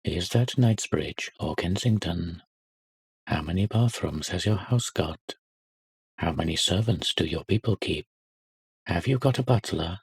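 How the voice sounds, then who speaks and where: A man voicing snobbish, sneering prep-school boys, quieter and a little higher than his narration, with a husky tone. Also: measured pace, no room sound, heavily acted.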